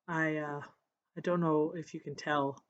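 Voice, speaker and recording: A painter with a cold, hoarse and scratchy, casually narrating a voiceover of their painting process with halting restarts. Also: mid-range voice, steady tone, no room sound, highs cut off. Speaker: encaustic painter. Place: voiceover recorded apart from the footage.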